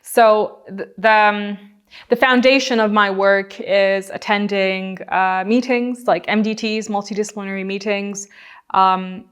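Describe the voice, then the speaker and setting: A young adult woman, a guest doctor on a podcast, explaining her work at length in a relaxed, chatty way. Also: medium pitch, measured pace, clean tone. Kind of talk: casual podcast interview.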